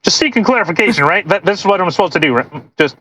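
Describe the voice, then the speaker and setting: A podcast co-host reading a post aloud with casual, mocking commentary, sarcastic and quick to swear and interject. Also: mid-range voice, lively intonation, bright, forceful tone, husky, no room sound, low-quality recording.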